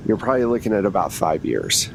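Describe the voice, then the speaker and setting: Man acting, tired public defender, frank, casual, hesitant, tabletop game, faint rumble behind. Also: very animated, quick pace, gravelly, exaggerated voice, rough recording. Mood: pragmatic. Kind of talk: improvised tabletop roleplay. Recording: rumbly background noise.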